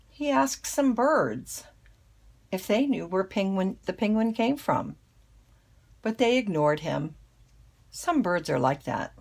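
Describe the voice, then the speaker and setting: Grandmother storyteller: older female, lowish and husky, children's read-aloud narration. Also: darkish tone. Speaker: grandmother reading a picture book.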